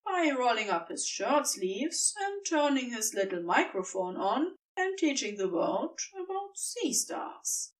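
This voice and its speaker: A woman's voice: high voice, big pitch swings, slow pace, few pauses, recorded from a distance, poor audio. A woman reading scripted fiction as a storybook narrator.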